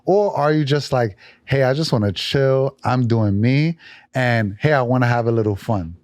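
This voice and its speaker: A man's voice: mid-range voice, normal speed, a little mellow, dry recording, studio quality. A man co-hosting a podcast, asking laid-back, probing questions in casual chat. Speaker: podcast co-host. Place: podcast studio.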